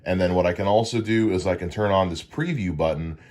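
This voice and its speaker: A deep, low-pitched man speaks into a dynamic broadcast mic in his home studio. He explains things in an easygoing way and often pauses on "uh" as he goes, like a podcast host showing off his setup. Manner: relaxed and explanatory, with frequent "uh" fillers. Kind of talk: casual gear unboxing walkthrough.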